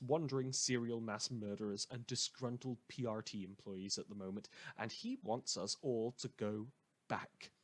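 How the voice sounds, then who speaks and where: A man's voice: mid-range voice, slightly rough. A man playing a sardonic, melodramatic radio show host, delivering a scripted satirical monologue in an audio drama with theatrical emphasis. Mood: sarcastic.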